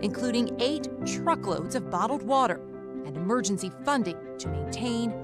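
Woman narrating over loud music. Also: mid-range voice, moderate pace. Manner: steady, informative news-style read. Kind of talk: scripted documentary narration.

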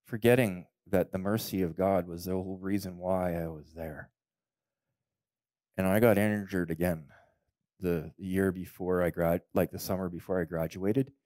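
Pastor in his forties, low voice, close dry headset mic, sermon that swells to loud emphatic peaks. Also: dry recording. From Canada. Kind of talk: sermon with personal testimony. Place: church stage, indoors.

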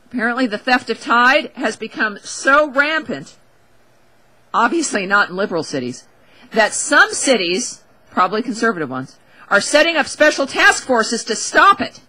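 A woman's voice: a little high, very animated, normal speed, bright, forceful tone, poor audio. A woman hosting a talk show, giving a casual, opinionated monologue in a wry, emphatic, conversational way into a close studio microphone.

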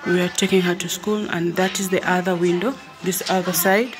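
A woman from Kenya with a Kenyan accent narrates a casual house tour in an unhurried, repetitive way, as if pointing things out. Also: lowish voice, level tone, over music. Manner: unhurried, repeats phrases while pointing things out. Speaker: vlogger. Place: indoors, living room of a family home. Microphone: handheld phone or camera held by the speaker.

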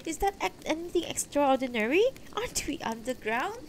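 A woman's voice: high-pitched, sing-song, moderate pace, a little hiss or hum, voice pushed up. A woman voicing a proud, blustering old male knight in a fantasy story, formal and indignant. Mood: defensive, indignant.

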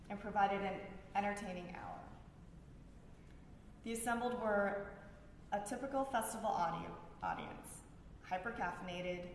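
Woman reading haltingly in a reverberant hall. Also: medium pitch. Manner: halting, often stumbling over words and restarting. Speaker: librarian reading at a public event. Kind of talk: reading prepared texts aloud. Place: large reverberant room at a library event. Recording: very echoey hall with background noise.

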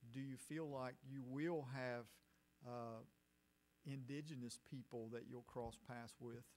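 A middle-aged man, a pastor speaking informally to his congregation from the pulpit in a halting, folksy way. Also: mid-range voice, fairly even intonation, a little mellow. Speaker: church pastor hosting a missions conference. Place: church sanctuary, at the pulpit. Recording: faint hum and background noise.

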